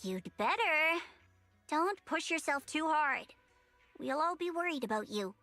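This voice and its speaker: A woman's voice: high voice, animated, a little slow, sharp tone, no room sound, soft background music, exaggerated voice. A woman voicing a concerned, bossy but caring young friend in a video game. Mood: worried, fond.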